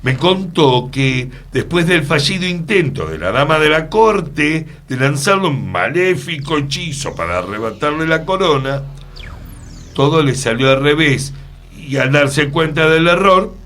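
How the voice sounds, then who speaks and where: An actor playing an earnest, mysterious storyteller in a radio play, pausing for dramatic effect. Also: male voice, fairly high pitch, very animated, a bit bright, faint music behind. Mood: grave storytelling. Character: earnest, mysterious male storyteller recounting an enchantment. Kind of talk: radio theatre acting.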